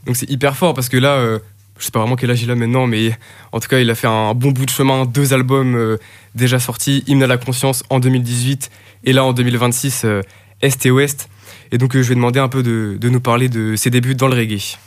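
Filler-heavy radio host, dry sound. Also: male voice, medium pitch, little pitch movement, brisk, no echo. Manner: filler-heavy, frequent hesitations. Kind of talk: casual live radio presenting.

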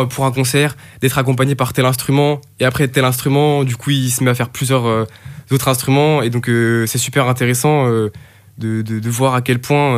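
Animateur radio, plein de euh, ton relax, voix moyenne, son bien sec. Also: male voice, mid-range voice, no echo, clean studio sound. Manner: filler-heavy, frequent hesitations. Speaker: radio host. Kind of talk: casual live radio presenting.

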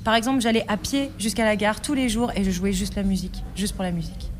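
A woman working as an interpreter, relaying a guest's answers quickly and conversationally. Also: medium pitch. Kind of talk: live interpretation.

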